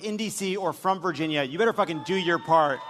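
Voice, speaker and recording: High-voiced sarcastic man hosting in an echoey hall. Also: a little fast, a bit bright. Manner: sarcastic, profane, emphatic. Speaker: host of a live political comedy panel show. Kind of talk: comedic panel banter, ranting. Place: stage in a live venue. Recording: echoey hall, noisy live stage sound.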